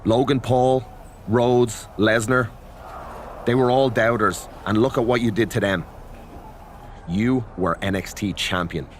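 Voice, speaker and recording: A man's voice: mid-range voice, fairly even intonation, unhurried, pauses often, a little hiss or hum, close to an everyday voice. A man playing a blunt, goading but encouraging friend in a wrestling game cutscene. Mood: firm, rousing. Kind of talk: video game cutscene acting.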